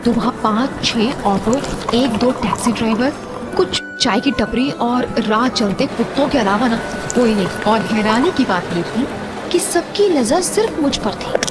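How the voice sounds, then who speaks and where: A woman's voice: a little high, expressive, a little slow. A woman playing a young wife, earnest and a little defensive, in an audio story drama over music. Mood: earnest, recounting.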